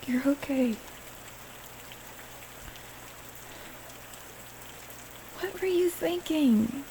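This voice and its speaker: A woman playing a caring, hurt young friend in an intimate audio roleplay, speaking earnestly and haltingly to the listener. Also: a little high, gravelly. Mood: worried, scolding. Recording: steady rain noise over the voice. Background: rain falling on surfaces.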